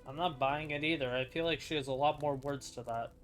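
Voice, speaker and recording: A man giving casual, unscripted gameplay commentary as a streamer, blunt and sweary, thinking out loud. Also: fairly high pitch, fairly even intonation, brisk, soft background music. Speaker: game streamer.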